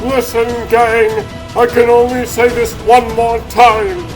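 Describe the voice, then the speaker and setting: A man's voice: extremely high pitch, flat delivery, slow, music in the background, rough recording. A man voicing an earnest, declamatory rabbit superhero who leads his team in a comic dub. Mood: determined. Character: plucky earnest cartoon rabbit superhero leader.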